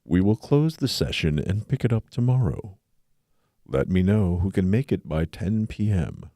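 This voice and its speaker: A man's voice: low voice, very animated, normal speed, mellow, no room sound, clean studio sound. A man playing a businesslike, matter-of-fact dungeon master in an audiobook drama. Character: businesslike male dungeon master organizing his players.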